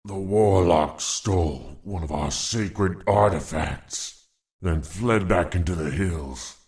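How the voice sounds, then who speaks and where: Very deep, solemn dying orc shaman. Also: darkish tone. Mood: grave, urgent. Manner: grave, ceremonious, measured. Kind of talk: video game voice acting.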